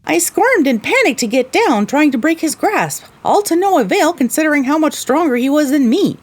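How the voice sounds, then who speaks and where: A woman's voice: high voice, sing-song, moderate pace. A woman playing a young pony mare who narrates her own adventure in an audio drama. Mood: earnest, storytelling. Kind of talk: scripted first-person audio drama narration.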